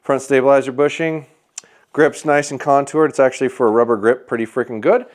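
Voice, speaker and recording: A man with an upbeat, hands-on delivery, talking through numbers as he measures gear. His voice sits at an ordinary middle pitch and carries loudly. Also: fairly even intonation, fairly quick. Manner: says he has a loud voice. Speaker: bow shop reviewer. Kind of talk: casual hands-on product testing.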